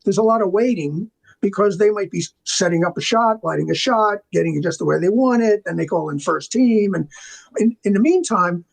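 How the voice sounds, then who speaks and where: A man's voice: high voice, a little fast, gravelly, slightly rough, poor audio. An older man chatting casually as an interview guest, telling rambling, enthusiastic stories about his working life. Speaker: interview guest, film makeup artist and art department veteran.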